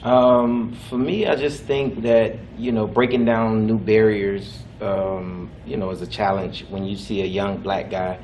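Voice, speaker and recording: A man who owns a security company, speaking in a measured, businesslike way about his firm. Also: lowish voice, steady tone, darkish tone, roomy sound, a little hiss or hum. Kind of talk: reading a promo, then guest chat.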